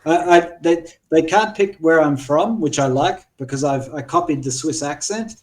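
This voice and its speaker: A man speaking English as his first language in casual group chat, blunt and self-mocking, on a low-quality backup recording. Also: moderate pace, distant mic. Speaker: chat participant.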